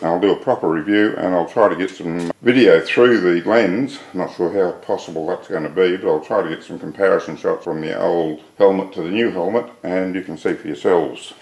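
Older Aussie hobbyist with a very deep, gravelly voice, casual and self-deprecating product review. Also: male voice, a little mellow, rough recording. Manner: chatty, plainspoken, self-deprecating. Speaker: hobby welder reviewing gear. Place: workshop shed.

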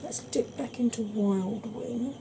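Brief: middle-aged woman, Welsh-Yorkshire accent, unhurried soft chat, home recording with steady background hiss. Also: a little high, vocal fry. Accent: Welsh and Yorkshire mix. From England, raised by Welsh family. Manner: deliberately slow, gentle, chatty. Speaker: beauty YouTuber. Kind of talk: slow soft-spoken makeup tutorial chat.

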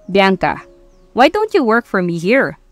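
A woman's voice: medium pitch, very animated, unhurried, soft background music. A woman voicing a confident, commanding male company boss and father in a romance audiobook. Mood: smooth, persuasive. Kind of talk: romance audiobook character acting.

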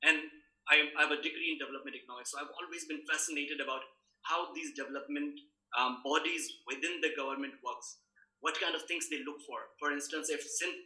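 A man's voice: fairly high pitch, sharp tone. A young man from Balochistan, Pakistan, with a Pakistani accent and Balochi as his first language, speaking earnestly and thoughtfully as an interview guest, with frequent ums. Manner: earnest, thoughtful, many fillers. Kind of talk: conversational interview. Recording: roomy echo.

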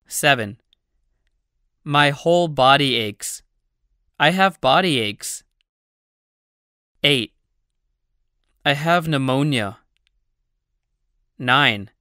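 Very slow, bright, crisp phrase reader. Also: medium pitch, no echo, clean studio sound. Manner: slow, evenly spaced delivery of numbered phrases. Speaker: narrator of a language-learning audiobook. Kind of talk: slow scripted phrase reading for learners.

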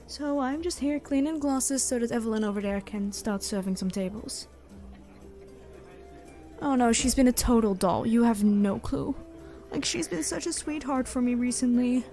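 A woman's voice: a little high, dark tone, lightly acted. A woman voicing an elven tavern keeper in an ASMR roleplay, familiar and teasing with the listener, protective and tough. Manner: familiar, teasing, uses pet names.

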